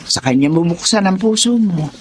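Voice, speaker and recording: An actress playing a firm, wise older mother in a melodrama, giving counsel in a measured, admonishing way. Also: fairly low pitch, lively intonation, slight background noise, lightly acted. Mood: firm, consoling. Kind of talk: radio-style melodrama acting.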